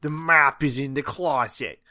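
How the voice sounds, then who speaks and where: A man voicing a stern, grumpy middle-aged woman teacher for a storybook, with slow, emphatic, growling delivery. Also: mid-range voice, sharp tone. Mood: curt, commanding.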